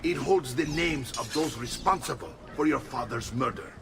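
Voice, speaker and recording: An actor playing a seasoned, middle-aged Italian uncle and combat mentor in a video game, firm and emphatic, with an Italian accent. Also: male voice, medium pitch, moderate pace, recorded from a distance, background noise, natural voice. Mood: grave, revealing. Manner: emphatic, instructive, old-fashioned phrasing. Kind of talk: dramatic video game acting.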